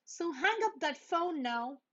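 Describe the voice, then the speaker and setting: Woman playing a strict, slow-spoken Mama Bear. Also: very high voice, lively intonation, fairly bright tone, voice pushed up, exaggerated voice. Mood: firm. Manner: firm, scolding. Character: strict, easily exasperated mother bear. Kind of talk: children's storybook read-aloud. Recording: dull, lo-fi sound.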